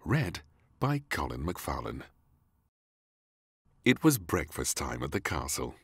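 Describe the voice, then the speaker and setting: A man's voice: extremely low pitch, sing-song, slow pace. A man reading a children's storybook aloud as narrator, expressively, for very young listeners.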